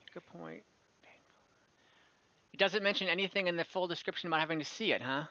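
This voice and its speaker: Man, game master, bright forceful voice, casual and halting rulings, dry slightly muffled online-call sound. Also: high voice, dry recording. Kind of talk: casual online tabletop game chat, refereeing.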